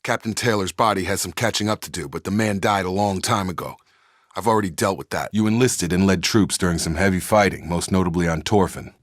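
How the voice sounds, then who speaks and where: A man playing a dutiful soldier in a sci-fi video game. His voice sits low and is recorded very dry. He speaks in clipped, matter-of-fact military phrases and comes across as steady and loyal. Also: brisk, no echo, natural voice. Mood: steady, serious. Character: soldierly, steady, dutiful adult male space operative. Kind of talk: scripted video game dialogue.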